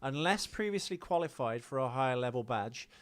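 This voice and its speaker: Male podcast co-host, mid-pitched, halting delivery, dry humour, casual film trivia chat. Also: lively intonation, no echo.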